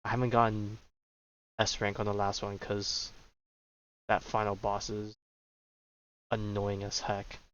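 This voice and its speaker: A man's voice: fairly low pitch, flat delivery, unhurried, long pauses, a bit bright, slight background noise. A man giving casual livestream commentary as he plays a game. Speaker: game streamer. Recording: slightly dull, lo-fi mic. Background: game music.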